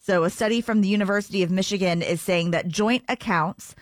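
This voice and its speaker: A woman's voice: mid-range voice, fairly quick, dry recording, clean studio sound. A young woman co-hosting morning radio, reading entertainment and lifestyle news in an upbeat, chatty way. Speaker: pop culture co-host.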